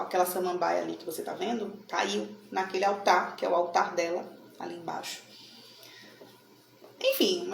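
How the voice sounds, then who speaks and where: A woman's voice: medium pitch, gravelly. A middle-aged woman from Salvador with a Bahian accent, talking casually to camera in a room at home about her card readings, often adding tag questions. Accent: Bahian Brazilian Portuguese. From Salvador, Bahia, Brazil. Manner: chatty, frequent tag questions. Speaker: tarot reader and channel host. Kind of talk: casual explanatory talk to camera. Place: room at home with a plant and altar. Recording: echoey room, faint noise.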